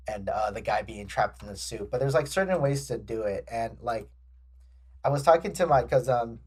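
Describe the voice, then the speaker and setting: A man hosting a casual podcast chat, rambling through stumbling restarts. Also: on the low side. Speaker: podcast host.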